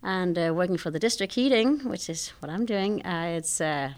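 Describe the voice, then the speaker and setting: Low-voiced Danish woman in conversation. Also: normal speed. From Denmark. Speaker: interview guest, civil engineer. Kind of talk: casual interview conversation.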